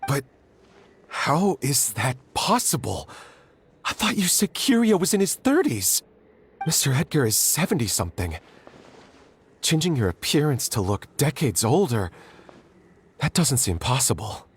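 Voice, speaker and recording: Earnest man, animated, noisy background. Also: mid-range voice. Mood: disbelieving. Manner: emotional, halting, insistent. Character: earnest, loyal man struggling with disbelief. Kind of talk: video game voice acting.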